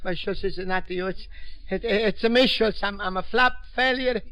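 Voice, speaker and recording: Earnest Italian immigrant, 1950s radio comedy, high bright voice, stammering broken English, fretful. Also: male voice, normal speed, no room sound. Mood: dejected. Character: earnest, easily hurt Italian immigrant man, fretful and naive.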